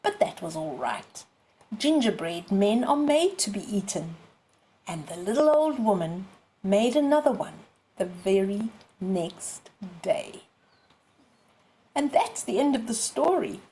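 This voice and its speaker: A woman in her sixties reading aloud expressively for young children, phrase by deliberate phrase. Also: medium pitch, sing-song, dark tone, rough recording. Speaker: storyteller.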